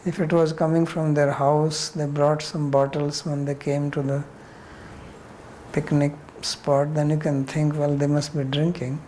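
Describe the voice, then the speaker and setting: A man's voice: a little high, level tone, measured pace, mellow, slight background noise, low-quality recording. A man with an Indian accent leading an informal class discussion as a spiritual teacher, answering questions bluntly and challengingly with rhetorical questions.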